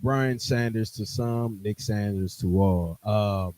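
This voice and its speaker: A man with a fairly low voice introduces himself on a podcast. His talk is relaxed and easygoing, like a radio regular chatting with friends. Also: slow pace. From Milwaukee, USA. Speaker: podcast guest, radio host. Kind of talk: casual chat.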